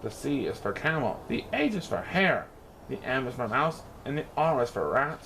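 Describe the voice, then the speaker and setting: Male storybook reader, playful and theatrical, very lively pitch, faint noise in the recording. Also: normal speed, gravelly, rough recording. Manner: theatrical, playful rhyming delivery with exaggerated emphasis. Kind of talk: expressive children's picture-book read-aloud.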